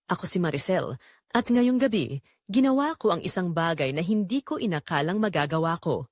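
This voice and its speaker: Expressive Filipina wife, confiding, acted by a woman. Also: fairly low pitch, no echo, clean studio sound. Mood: restrained longing. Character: quiet neglected Filipina wife confessing her hidden longing. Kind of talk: first-person dramatic audio story narration.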